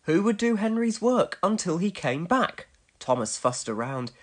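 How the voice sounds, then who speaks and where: A man giving an expressive, scripted narration of a children's storybook read-along. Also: sits high, unhurried.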